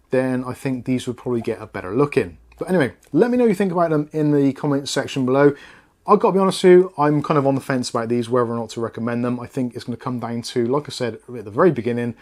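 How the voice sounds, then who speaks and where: A British man giving a casual, conversational tech review in a measured, frank way. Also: mid-range voice, lively intonation. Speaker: product review vlogger.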